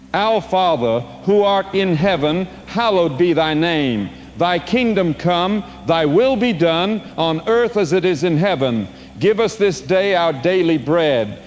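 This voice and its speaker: An actor playing a male preacher who leads a congregation through a solemn, measured prayer. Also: on the high side. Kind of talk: leading a prayer. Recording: dull echoing hall with faint hiss.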